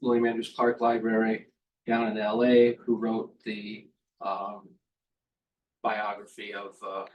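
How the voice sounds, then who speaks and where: Flat-voiced host heard from far off. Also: male voice, lowish voice, a little slow, muffled recording. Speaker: event host. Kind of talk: moderating a book event.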